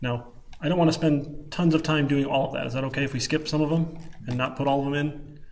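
A man's voice: mid-range voice, fairly even intonation, fast, gravelly, a little mellow. A man with a Michigan Midwestern accent giving an informal live coding lecture, rambling casually and thinking aloud. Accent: Michigan Midwestern American. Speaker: programming lecturer. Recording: clicking keyboard, faint room noise. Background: keyboard typing.

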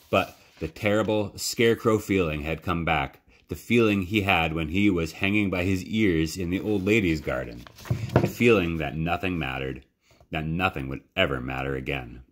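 Male storyteller, low pitch, measured delivery, dramatic pauses, children's book read-aloud. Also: a bit bright. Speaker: library storytime reader. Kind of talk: expressive children's audiobook-style narration.

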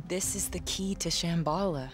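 A woman's voice: fairly low pitch, normal speed, natural voice. An actress playing an earnest, caring young woman, an adventurer's direct and persistent companion in a video game. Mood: concerned. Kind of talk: naturalistic video game cutscene acting. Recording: clear music under the dialogue.

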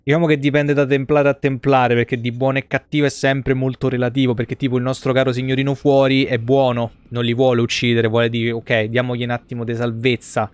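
Man streaming games, fairly high voice. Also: level tone, fairly quick, hardly any pauses, clear, resonant tone, no room sound. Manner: sarcastic, foul-mouthed, rambling. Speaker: video game streamer. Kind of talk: casual live game commentary. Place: small room at home.

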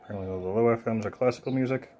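A man giving a casual, unscripted product demo in an offhand, halting way, thinking aloud as he goes. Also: mid-range voice, a little mellow, muffled recording, soft background music. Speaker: presenter of a gadget demonstration. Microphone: phone held near the hands filming.

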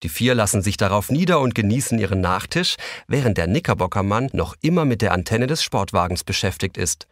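A male narrator tells a scripted children's story. His voice sits fairly low, in the manner of an audio drama storyteller. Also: expressive, fairly quick, no echo, clean studio sound.

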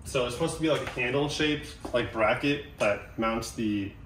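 American dude doing a casual diy vlog, slangy bro talk, forceful low voice, background noise in the garage. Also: male voice, moderate pace, poor audio. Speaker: vlog host. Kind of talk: casual how-to chat with a friend while working. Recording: clear ambient noise behind handheld camera sound.

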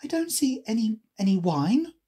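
A woman plays a prim, curious little girl from a storybook. The voice is lifted high and swings freely in pitch, earnest and quick to take offence, with a slightly husky edge. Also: big pitch swings, warm tone, heavily acted. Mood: indignant.

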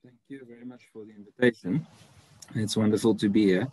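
A man's voice: lowish voice, a little fast, a little mellow, rough recording. A man whose first language is Afrikaans, from South Africa, giving an online seminar talk as a university lecturer in a friendly, chatty way with many ums. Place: indoor room, video call.

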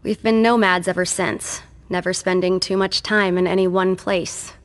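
An actress voicing a defiant, world-weary former servant of a vampire in a video game. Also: female voice, fairly low pitch, steady tone, normal speed, lightly acted. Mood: sober, reflective. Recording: long reverb.

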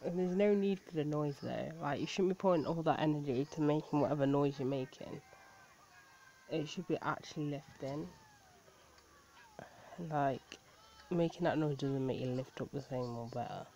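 A woman's voice: low voice, normal speed, long pauses, mellow. A woman giving blunt, incredulous reaction commentary, swearing and laughing as she talks. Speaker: video reaction host. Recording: dull lo-fi sound with faint noise.

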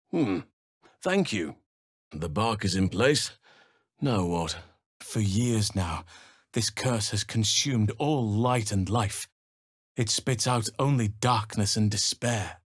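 An actor playing a gentle, solemn, protective elf druid in a fantasy video game, speaking in measured, earnest, formal phrases. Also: male voice, medium pitch, no room sound, clean studio sound. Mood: grave.

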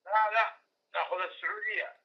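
A man in his sixties from Yemen, calling in by phone, answering haltingly and asking for questions to be repeated. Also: high-pitched, fairly even intonation. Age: 60s. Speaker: caller. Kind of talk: phone call. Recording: muffled phone line with faint noise.

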